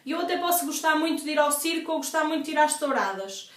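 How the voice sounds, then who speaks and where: A woman's voice: high voice, expressive, fast, a bit bright. Young Portuguese woman vlogger, congested and chatty, recorded a bit far off in a roomy space. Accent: European Portuguese. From northern Portugal. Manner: chatty, explanatory, many fillers. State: congested from asthma. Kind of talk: casual Q&A vlog. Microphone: device camera at a distance. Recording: echoey room, voice off-mic.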